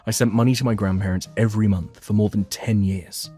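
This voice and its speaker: A man's voice: fairly low pitch, fairly even intonation, brisk, dark tone. A man narrating a dramatized first-person story for a manga dub. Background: background music.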